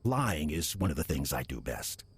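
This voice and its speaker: Casting: sly demon in horror audio drama, low raspy voice, swooping inflection, mock-polite. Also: male voice, sing-song, heavily acted. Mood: smug persuasion. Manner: measured, insinuating, mock-polite. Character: sly, smooth-talking demon posing as lovable old church deacon.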